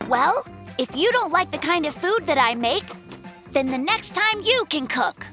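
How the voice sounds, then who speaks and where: An actor voicing a gentle, earnest young polar bear cub who speaks instructively, as a proud little cook in a children's cartoon. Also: sits high, very animated, a little slow, soft background music, full character voice. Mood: earnest, reproving. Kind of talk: animated children's cartoon acting.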